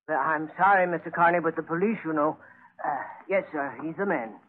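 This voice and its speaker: A man's voice: fairly high pitch, normal speed, a little hiss or hum, lightly acted. A male actor as a meek little bank clerk in a 1940s radio play. He speaks hesitantly, with nervous, apologetic politeness. The sound is narrow and phone-like, like an old broadcast. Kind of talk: 1940s radio drama acting.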